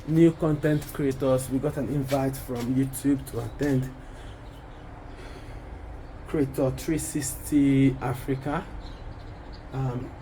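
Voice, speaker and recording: Slow-talking, husky young man vlogging. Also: medium pitch, background noise. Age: young adult. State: hoarse from a sore throat. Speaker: vlogger, content creator. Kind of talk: casual vlog chat to viewers. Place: outdoors, quiet residential estate street.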